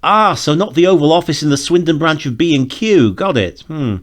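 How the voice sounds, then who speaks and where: A man's voice: fairly high pitch, expressive, normal speed. A man making dry, sarcastic comic commentary over an audio drama.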